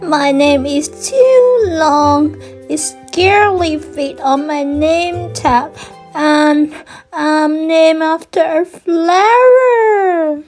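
A woman voicing a sensitive young girl mouse in a storybook, with drawn-out, emphatic delivery. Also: high-pitched, a little mellow, faint music behind, voice pushed up. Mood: miserable. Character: sensitive young girl mouse who adores her name.

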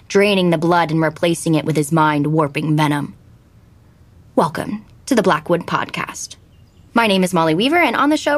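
A woman's voice: fairly low pitch, no echo, natural voice. An actress playing an eager, bossy teenage girl hosting an amateur podcast in an audio drama. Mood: dramatic. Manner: take-charge, earnest.

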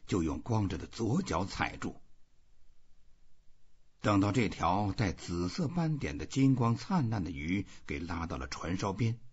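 A man's voice: sits low, a little mellow, husky. A man reading a literary novel aloud as scripted audiobook narration, in a dull, muffled recording. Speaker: audiobook reader.

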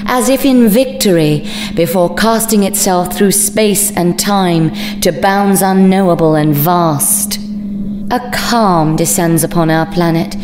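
A woman's voice: lowish voice, background noise. A woman giving a grave, dramatic scripted narration of a science-fiction legend. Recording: echoing voice under loud music. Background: loud music.

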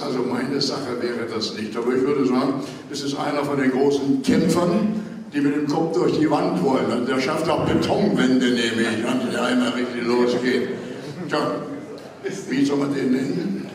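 A man's voice: mid-range voice, steady tone, moderate pace. An older man improvising casually as a guest on a stage panel. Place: large indoor stage hall. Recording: echoey hall, distant, loud crowd.

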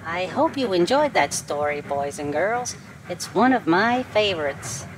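A woman reading a storybook aloud to preschoolers with clear, deliberate enunciation. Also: on the low side, lively intonation, background noise. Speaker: children's storybook narrator.

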